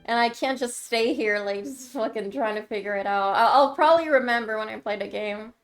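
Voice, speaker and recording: A high-voiced woman with a Quebec French accent chats loosely with her stream viewers, trailing off and laughing as she goes. Plain game music plays clearly behind her throughout. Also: brisk. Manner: chatty, rambling, laughs often, thanks viewers. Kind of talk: casual chat with viewers.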